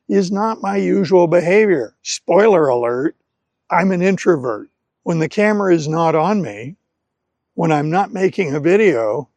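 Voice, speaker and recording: A man with a slightly high voice and a very slow, deliberate delivery presents an educational talk as a native English speaker. He phrases carefully in short segments, and the sound is dry and close. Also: creaky, a little mellow, no echo. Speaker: language-learning presenter. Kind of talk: scripted educational talk to camera.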